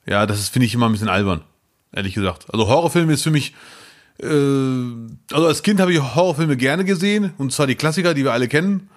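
A man's voice: medium pitch, expressive, fast. A man co-hosting a podcast in casual chat, dropping dry jokes and short agreeing replies. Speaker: podcast co-host.

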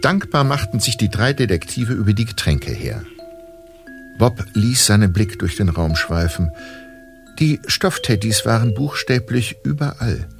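A man narrating a German audio drama as a composed, observant storyteller, with measured literary delivery. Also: fairly low pitch, big pitch swings, gravelly, faint music behind, full character voice. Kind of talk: scripted narration.

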